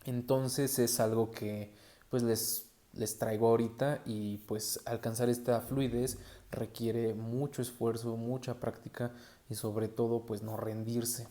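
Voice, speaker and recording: A man's voice: medium pitch, little pitch movement, takes it slowly, dark tone. A man with a Mexican accent talking casually as a solo podcast host, conversational and often adding little tag questions.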